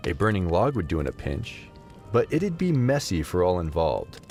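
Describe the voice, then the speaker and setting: A man's voice: sits low, sing-song, moderate pace, mellow, faint music behind. A man narrating a scripted fantasy audio drama in a dramatic storytelling style.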